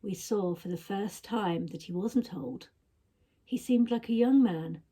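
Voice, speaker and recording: A woman narrating a story expressively, with dramatic, measured phrasing that is often hushed. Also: medium pitch, husky. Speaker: story reader. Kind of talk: expressive audiobook-style narration.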